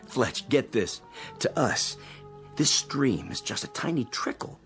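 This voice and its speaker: A man's voice: medium pitch, very animated, takes it slowly, a bit bright, faint music behind. An actor playing a cocky, teasing male space explorer in a radio drama, boastfully and eagerly showing off his secret find.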